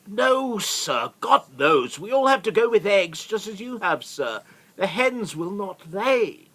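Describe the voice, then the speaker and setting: A man's voice: high voice, sing-song, slow, sharp tone, exaggerated voice. A man playing a servile, put-upon castle steward in a radio play, obsequious, flustered and pleading. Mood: flustered, defensive. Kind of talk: theatrical radio play acting.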